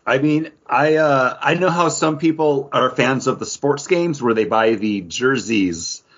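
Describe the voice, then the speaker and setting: A man's voice: mid-range voice, a little slow, a bit bright. A man from the United States chatting casually and sharing personal stories as a podcast co-host. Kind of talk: casual chat, personal anecdotes. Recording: dull, lo-fi audio.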